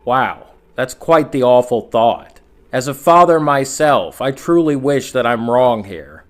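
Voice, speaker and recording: A man narrating scripted horror commentary as a host, in a measured storytelling manner with rhetorical asides. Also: medium pitch. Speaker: video essay host and narrator.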